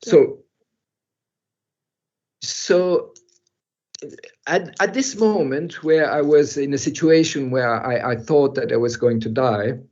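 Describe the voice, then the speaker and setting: An older man who grew up in Europe, speaking as a guest in an online talk and telling a personal story in a halting, reflective way with soft laughs. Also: mid-range voice. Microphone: video call. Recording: muffled video call audio.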